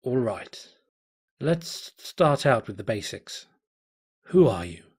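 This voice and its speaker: A wary, bewildered stallion explorer speaks in plain, halting words, as a man reading the story puts him on. His voice is husky and sits at a middle pitch. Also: big pitch swings, a little mellow, exaggerated voice. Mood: bemused. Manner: hesitant, plain-spoken. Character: cautious, puzzled stallion explorer.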